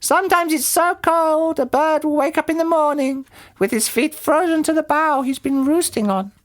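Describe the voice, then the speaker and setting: A woman's voice: high-pitched, moderate pace, voice pushed up, close to an everyday voice. A woman voicing a chirpy, knowing exotic bird giving advice in a children's storybook. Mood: emphatic warning.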